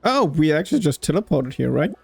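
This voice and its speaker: A woman's voice: deep voice, sing-song, fast talker, vocal fry, darkish tone, husky. A woman giving casual commentary as she plays a game, with game music behind her. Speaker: streamer playing a game.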